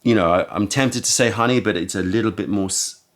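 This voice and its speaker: A man hosting a casual tea tasting, his voice croaky from having just woken up. He talks slowly and musingly, often stopping to search for the right word. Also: lowish voice. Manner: slow, musing, searching for words. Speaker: tea shop host.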